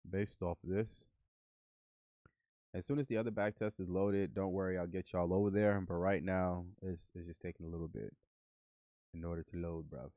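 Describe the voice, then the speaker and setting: A man's voice: sits low, steady tone, moderate pace, dark tone, no echo, dull audio. A man streaming live as he codes trading bots, sounding nasal while getting over the flu and muttering to himself and to his bots. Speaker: livestream host coding trading bots. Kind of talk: casual live coding stream.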